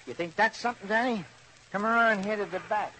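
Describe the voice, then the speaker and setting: A man's voice: high-pitched, brisk, natural voice. A man playing a musing, philosophical cop. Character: musing police officer, philosophical. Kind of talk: 1950s radio drama acting. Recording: old radio recording with clear hiss.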